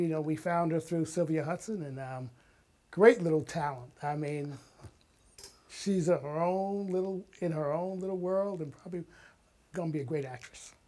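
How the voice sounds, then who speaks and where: An older man talking casually as an interview guest, telling stories in an animated, enthusiastic way. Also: fairly high pitch. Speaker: guest, writer and filmmaker.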